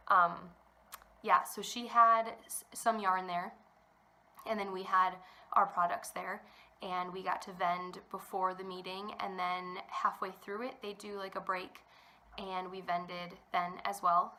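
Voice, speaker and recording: Woman, young adult, bright voice, rambling casual chat, slightly congested, at home. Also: medium pitch. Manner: rambling, chatty, many ums. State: congested from a cold. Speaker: knitting podcast host. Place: at home indoors.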